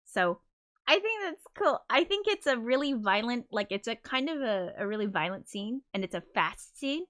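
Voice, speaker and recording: A woman with a high voice talks casually on her livestream, reading out viewer comments. She rambles, restarts her sentences often and giggles between thoughts, close to a desk mic. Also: big pitch swings, moderate pace, fairly bright tone. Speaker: composer streaming live.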